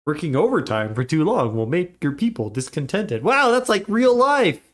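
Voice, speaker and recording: A man's voice: fairly high pitch, sing-song, normal speed, a bit bright. A man, a father of young kids, chatting casually while livestreaming a game, his voice a little congested. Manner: chatty, upbeat, greets chat often. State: slightly congested from a cold. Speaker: livestream gamer.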